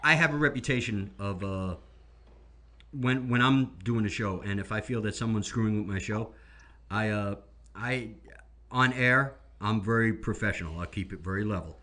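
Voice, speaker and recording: A man's voice: on the low side, normal speed, bright, forceful tone. A man who hosts a radio show talks casually with his guest. He mostly offers short agreements and adds a few stories of his own. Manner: affirming, brief interjections. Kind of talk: casual interview chat.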